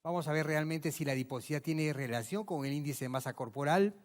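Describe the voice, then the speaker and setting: Doctor guy giving a conference talk, kinda high voice, big echoey hall, keeps asking the crowd questions. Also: brisk. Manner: emphatic, rhetorical questions, addresses audience directly. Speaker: physician lecturer. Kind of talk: medical conference lecture. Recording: long reverb of a large hall.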